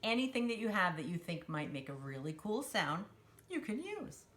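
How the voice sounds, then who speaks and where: A woman's voice: mid-range voice, sing-song, moderate pace, fairly bright tone. A woman hosting a children's storytime, talking simply and encouragingly to young children as she demonstrates a craft. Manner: refers to herself in third person.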